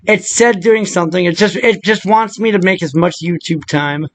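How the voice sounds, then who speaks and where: A man hosting a casual software tutorial, rambling and muttering asides to himself in a crude, joking, profane way. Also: sits high, moderate pace. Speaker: video tutorial host.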